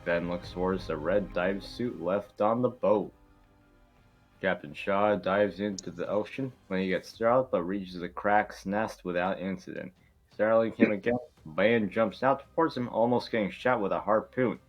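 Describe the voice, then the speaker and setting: A man's voice: on the low side, moderate pace, soft background music. A man reading out scripted narration as an amateur table read narrator, informally and stumbling over the script.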